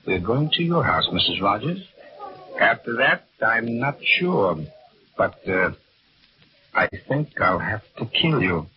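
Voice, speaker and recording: An actor playing a coolly menacing killer on the run in a vintage radio drama, mock-polite and curt. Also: male voice, mid-range voice, sing-song, slow, slight background noise. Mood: wary, controlled. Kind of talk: 1940s-50s radio drama acting. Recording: dull old radio recording, music behind.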